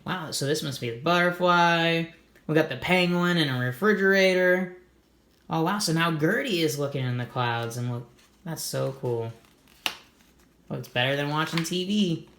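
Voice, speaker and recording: A man's voice: fairly high pitch, normal speed, a bit bright, roomy sound. A man reading a children's storybook aloud, adding simple, encouraging comments for young listeners. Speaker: read-aloud host for children.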